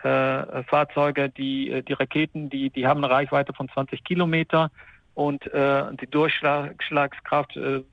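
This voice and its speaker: A man from the Netherlands, speaking German haltingly with many fillers and restarts in a remote interview. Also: mid-range voice, flat delivery, creaky, dry recording, dull audio. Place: apartment.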